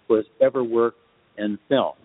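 Husky guy explaining stuff on a podcast, deliberate and emphatic, sounds like a phone with long reverb. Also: medium pitch, steady tone, narrow, tinny audio. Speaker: guest film composer.

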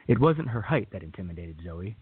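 A man's voice: fairly low pitch, big pitch swings, a little fast, husky. A man narrating a scripted radio drama as a storyteller. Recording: tinny, phone-like narrow band.

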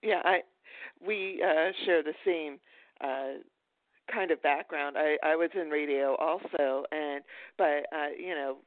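A woman hosting a conversational podcast interview, with hesitant, halting phrasing. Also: sits low, dry recording. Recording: thin phone-like audio.

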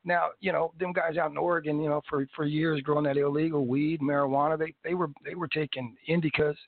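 A man's voice: a little high, steady tone, fast, creaky, dry recording. A man chatting casually as a guest about hemp, rambling with lots of restarts and small laughs. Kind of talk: casual interview chat. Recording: dry tinny phone-like sound.

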